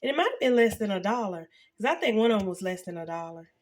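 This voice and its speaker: A woman in her fifties talks casually to her viewers, her voice nasal and congested from a cold. She speaks in a halting way, full of fillers and restarts. Her pitch sits in an ordinary middle range. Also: expressive, a little hiss or hum. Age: 50s. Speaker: vlogger. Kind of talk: casual reflective talk to camera.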